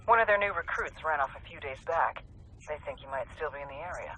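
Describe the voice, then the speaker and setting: A tough American intelligence handler in a video game. The voice is fairly high and forceful, crisp and businesslike, with faint noise. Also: male voice, fast talker, low-quality recording. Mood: brisk, businesslike. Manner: crisp, no-nonsense. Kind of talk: video game radio dialogue.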